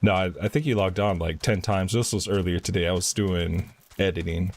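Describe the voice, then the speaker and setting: A man's voice: deep voice, steady tone, brisk. A man live streaming a video game, chatting casually and reading quest text aloud with some stumbles.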